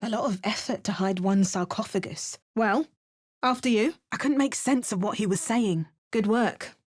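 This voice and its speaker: An actress voicing a sardonic female adventurer in a game, delivering dry, sarcastic quips and calm narration. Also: mid-range voice, normal speed, dry recording, natural voice. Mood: wry. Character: sardonic adult female adventurer, wry and unflappable. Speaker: player character voice actor.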